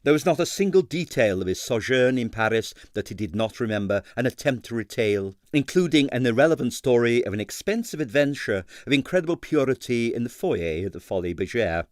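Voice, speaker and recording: A man reading a comic mystery novel as scripted narration, with a dry, measured storytelling manner. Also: medium pitch, very animated, no room sound. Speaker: audiobook reader.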